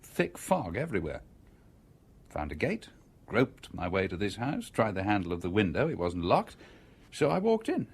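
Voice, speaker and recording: An actor with a British accent playing an inquisitive, composed engineer in a radio drama, urbane and conversational. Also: male voice, lowish voice, huge pitch swings, moderate pace, slightly rough, exaggerated voice. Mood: matter-of-fact. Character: inquisitive, coolly composed stranger, a male engineer.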